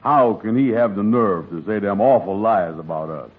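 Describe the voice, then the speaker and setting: An actor playing a lazy, slow-witted male pal with naive, drawling dialect speech, in 1940s radio comedy. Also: fairly low pitch, slightly rough. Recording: tinny old radio broadcast with faint hiss.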